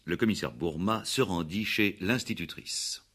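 A man's voice: deep voice, moderate pace, fairly bright tone. A man narrating a scripted radio police drama as its storyteller.

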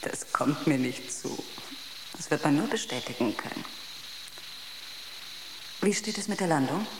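An actress playing a logical, quietly argumentative ship's computer in a radio drama, with precise, clinical delivery. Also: female voice, sits low, animated, unhurried, noisy background. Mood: dryly argumentative.

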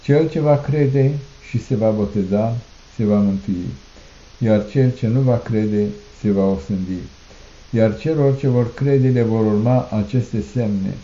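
A man reciting a solemn liturgical prayer in a declamatory manner, in measured phrases with repeated refrains. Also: fairly low pitch, warm tone. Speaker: prayer reader. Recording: muffled, echoey room recording.

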